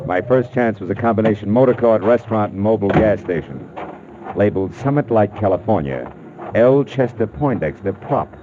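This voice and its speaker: An actor playing a wry, skeptical private detective in 1940s radio drama style, deadpan and wisecracking, with first-person noir narration. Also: male voice, on the low side, normal speed, noisy background, natural voice. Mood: wry narrating. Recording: muffled, narrowband old radio recording.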